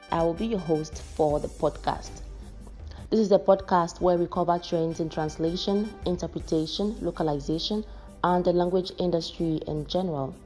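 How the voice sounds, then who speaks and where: A woman hosts a podcast in a fairly low, very mellow voice. She presents and interviews, with faint music in the recording. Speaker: podcast host. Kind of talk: scripted podcast introduction and interviewing.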